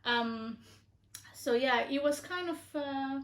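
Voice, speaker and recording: A woman's voice: high voice, slow pace. A woman from Algeria chatting casually about her diet, hesitant, with many fillers and restarts. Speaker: co-host being interviewed. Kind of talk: casual conversational chat. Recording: echoey room.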